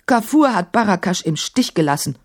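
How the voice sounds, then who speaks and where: A woman's voice: medium pitch, animated, moderate pace, no echo, clean studio sound, voice pushed up, lightly acted. A woman voicing a proud, scornful young male caliph in a storybook, with imperious, emphatic delivery. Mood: haughty, stern.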